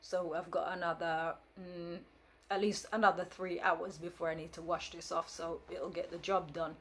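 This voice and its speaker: A woman's voice: on the low side, level tone, moderate pace, slight background noise. A woman in her fifties whose first language is Jamaican Patois tells a story to camera in a casual, rambling way, with many fillers and restarts and a firm, frank edge. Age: 50s. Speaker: vlogger.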